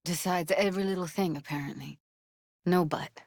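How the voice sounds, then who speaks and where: A woman's voice: fairly low pitch, steady tone, moderate pace, a little mellow, dry recording, studio quality. An actress playing a tough but tender young techie woman in a video game, speaking in a casual, clipped, slangy way. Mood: bitter, weary. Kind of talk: naturalistic video game dialogue.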